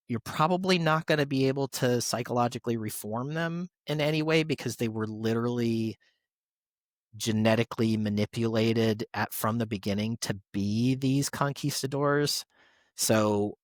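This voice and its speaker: A man's voice: medium pitch, dry recording. A man chatting casually and enthusiastically as a podcast panelist. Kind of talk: casual chat.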